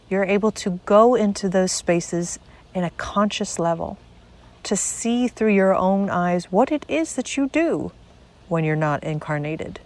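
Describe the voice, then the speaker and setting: A woman narrating a spiritual guided meditation in a measured, deliberate way, pausing between short phrases. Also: mid-range voice, darkish tone.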